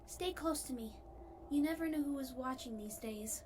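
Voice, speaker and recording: A woman's voice: sits high, moderate pace, lightly acted. A woman voicing an anxious, secretive female cartoon pony with an urgent, evasive manner. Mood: guarded. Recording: clearly noisy cartoon audio with ambience.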